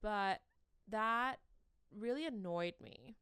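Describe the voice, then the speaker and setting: Shrill, slow-talking young woman podcaster. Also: bright, forceful tone, clear, resonant tone, no echo, clean studio sound. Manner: rambling, self-mocking, chatty with fillers. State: sleep-deprived. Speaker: podcast host. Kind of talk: casual solo podcast chat. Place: corner of her bedroom.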